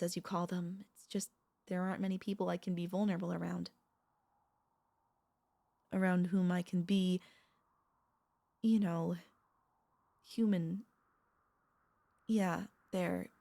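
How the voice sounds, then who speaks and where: A woman playing a possessive, doting royal vampire mistress in an intimate ASMR audio roleplay, speaking in a tender, teasing, halting way with a creaky voice. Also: fairly low pitch. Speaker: voice actor and vtuber.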